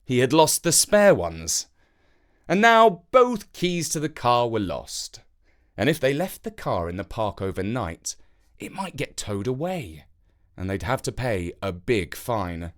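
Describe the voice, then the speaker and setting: A children's story narrator. The voice sits at a medium pitch but rises and falls dramatically. The phrasing is expressive and deliberate.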